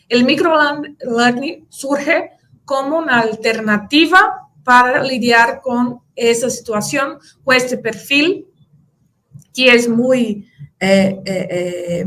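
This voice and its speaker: A woman's voice: high-pitched, a bit bright. Brazilian woman speaking careful Spanish. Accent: Brazilian Portuguese. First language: Portuguese. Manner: hesitant, many fillers and restarts. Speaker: conference speaker. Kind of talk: answering audience questions.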